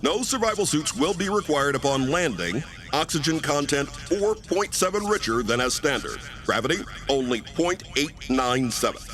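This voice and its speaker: An actor playing a stern, authoritative spaceship commander giving clipped, formal orders in an old-time radio sci-fi play. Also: male voice, on the high side, animated, moderate pace, a bit bright, faint music behind. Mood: official.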